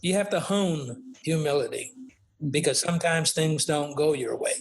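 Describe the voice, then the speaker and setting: An older man speaks as an interview guest, his voice a little higher than usual for a man. He talks reflectively and unhurriedly, with fillers and frequent "okay?" check-ins. A faint noise sits under the recording. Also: expressive, moderate pace. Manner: reflective, many fillers and tag questions. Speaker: guest, author. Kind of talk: conversational interview.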